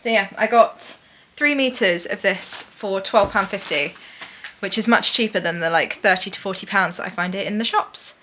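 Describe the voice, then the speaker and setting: A woman's voice: medium pitch, moderate pace, sharp tone, a little hiss or hum. A woman in her thirties, chatting casually and a little ramblingly as a knitting vlogger.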